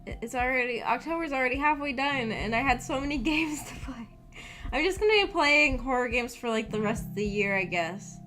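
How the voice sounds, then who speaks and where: A woman's voice: high-pitched, a little fast, fairly bright tone. Young woman streamer, shy rambling chat over music. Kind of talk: casual chat with livestream viewers. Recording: music bed under the voice. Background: background music.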